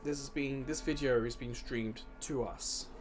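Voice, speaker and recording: A man's voice: medium pitch, fairly even intonation, a little slow. A man giving casual gameplay commentary, thinking aloud and musing to himself as he hosts. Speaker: host of a gaming video series. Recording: game music behind the voice. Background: video game music.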